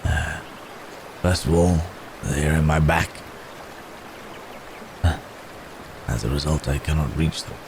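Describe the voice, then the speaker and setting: A man playing a wounded, courteous werewolf in an intimate ASMR roleplay, halting and strained with pain, with old-fashioned polite phrasing. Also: extremely low pitch, vocal fry, noisy background, heavily acted. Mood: weary, grave. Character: wounded, weary, courteous male werewolf hunted by men.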